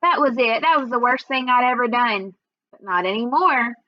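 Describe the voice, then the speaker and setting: A woman storyteller reading a first-person children's book aloud in an expressive, measured way. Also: fairly high pitch, muffled recording.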